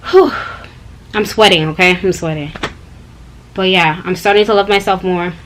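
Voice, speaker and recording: A young woman vlogging in a loose, rambling way, addressing viewers directly. Her voice sits a little low for a woman. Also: normal speed, a bit bright, a little hiss or hum. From Canada. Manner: rambling, chatty, talks straight to viewers. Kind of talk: casual personal vlog talk.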